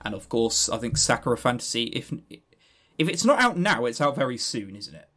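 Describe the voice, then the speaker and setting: A man with a southern English accent co-hosting a casual gaming news chat, animated, sardonic and sweary in manner. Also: mid-range voice, a little fast. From southern England. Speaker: podcast co-host.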